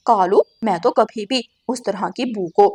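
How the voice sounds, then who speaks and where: A woman's voice: medium pitch, measured pace, dark tone, husky. A woman voicing a haughty, mocking crow wife in a cartoon, with a sneering, scolding manner. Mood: scornful.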